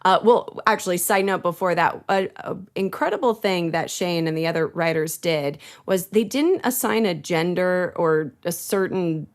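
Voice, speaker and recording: Low-pitched woman chatting in an interview. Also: moderate pace, hardly any pauses. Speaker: voice actor. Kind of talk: casual interview chat.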